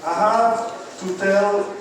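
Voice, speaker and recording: A man, a university physics professor giving a classroom lecture, halting with many fillers and repeated okays. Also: high voice, fairly even intonation. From France. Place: large lecture hall. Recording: echoey hall from far off with crowd murmur.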